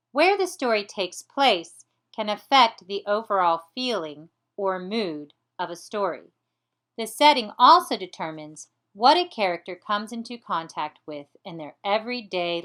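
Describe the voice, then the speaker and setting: A woman teaching a lesson in a deliberate, step-by-step manner. Her pace is slow and careful, and her medium-pitched voice moves up and down in a lively way. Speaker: teacher presenting a writing activity. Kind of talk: instructional lesson.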